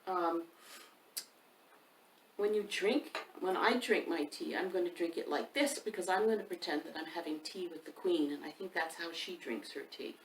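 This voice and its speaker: Woman hosting children's storytime, distant roomy sound. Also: on the low side. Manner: unhurried, addressing young listeners directly with questions. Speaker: children's author and storytime host. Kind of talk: casual storytime chat and expressive picture-book read-aloud for children. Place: indoors by a fireplace, roomy sound. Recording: roomy, distant microphone with faint background noise.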